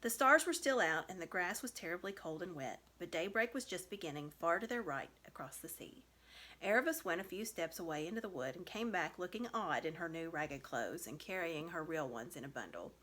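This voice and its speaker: A woman giving an expressive scripted narration of a children's story. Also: fairly low pitch, a little fast. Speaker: storybook reader.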